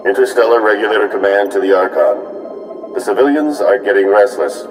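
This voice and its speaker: Low, stern military commander played by a man. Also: moderate pace, music in the background, natural voice, rough recording. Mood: stern, ruthless. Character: hard, ruthless military commander reporting by transmission. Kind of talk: scripted video game dialogue.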